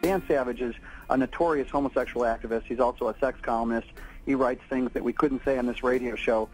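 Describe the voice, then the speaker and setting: American man talking on radio, mid-pitched. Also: fairly even intonation, fast talker, a little mellow, faint music behind, poor audio. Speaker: activist speaker. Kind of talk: radio talk.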